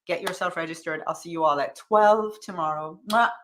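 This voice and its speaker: A woman coaching her viewers in a live stream, with a voice pitched a touch low for a woman. She talks in a chatty, upbeat way, stops to thank commenters by name, and drives home the same call to action again and again. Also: a little fast, fairly bright tone, a little hiss or hum. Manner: repetitive, urging calls to action. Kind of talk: casual motivational live stream.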